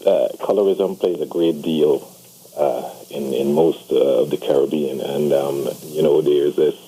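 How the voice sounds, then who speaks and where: A man from Guyana calling in to a radio show, talking haltingly with many fillers. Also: rumbling low, warm tone, breathy edge. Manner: hesitant, many fillers and restarts. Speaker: caller. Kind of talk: phone-in call. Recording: phone line.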